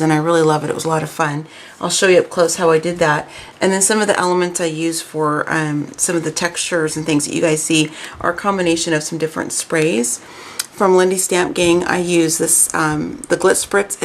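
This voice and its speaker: A woman hosting a craft video in a chatty, casual way with lots of ums, her voice raspy and hoarse from a weekend of talking. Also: fairly low pitch, slight background noise. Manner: chatty, many ums and restarts. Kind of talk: casual craft show-and-tell.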